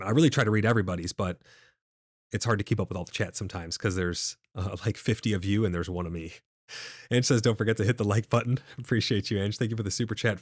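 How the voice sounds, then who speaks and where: A man's voice: medium pitch, no echo, studio quality. A man hosting a live gaming stream, reading chat aloud and answering viewers casually, his voice a little nasal and stuffed up. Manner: casual, reads chat messages aloud and replies. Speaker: livestream host.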